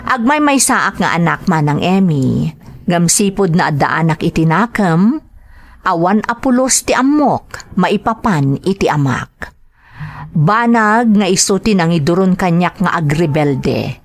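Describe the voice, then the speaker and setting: A woman with an Ilocano accent hosting a drama show, reading greetings and a listener's letter. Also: on the low side. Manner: slow, expressive reading. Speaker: drama host and narrator.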